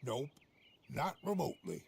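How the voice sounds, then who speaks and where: An actor playing a gruff, laconic frontier gunman in a video game cutscene, with a gravelly voice. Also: mid-range voice, very animated, normal speed, a little mellow, slight background noise.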